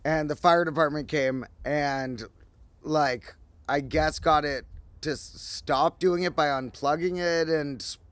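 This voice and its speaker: A man hosting a casual livestream, his voice slightly hoarse, telling a rambling story with animated asides. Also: on the high side, slow, no echo. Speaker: host. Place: outdoors, windy.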